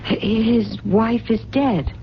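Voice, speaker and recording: A woman's voice: a little high, fairly even intonation, slow. A woman acting in a 1970s radio drama as a stubborn, lovestruck, plain-spoken woman with an insistent, colloquial delivery. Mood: anxious probing. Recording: muffled, noisy, humming vintage radio recording.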